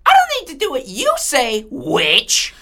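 A woman voicing an excitable, cheeky young wooden puppet boy who has come to life in an audio drama. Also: high-pitched, full character voice. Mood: cheeky, defiant. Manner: excitable, rapid-fire questions, cheeky.

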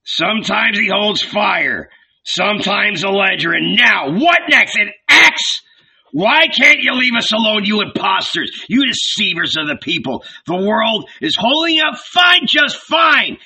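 A man voicing an irascible, scoffing old village patriarch in a dramatic audiobook, loud and exclamatory with a raspy voice. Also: very high-pitched, animated, measured pace, voice pushed up, full character voice, low-quality recording. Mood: exasperated rage. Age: older. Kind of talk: dramatic audiobook character reading.

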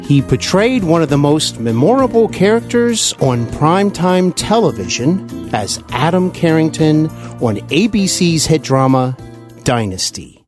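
A man's voice: fairly high pitch, big pitch swings, unhurried, a little mellow, soft background music. A man hosting a podcast, effusive and emphatic, delivering introductions and interview talk. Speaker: podcast host.

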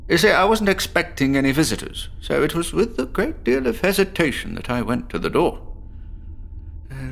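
Man acting a creaky, excitable, rambling patient. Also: mid-range voice, full character voice. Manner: theatrical, rambling, with nervous chuckles. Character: chatty, nervously jovial male psychiatric patient obsessed with apples. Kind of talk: dramatic monologue in a horror audio drama.

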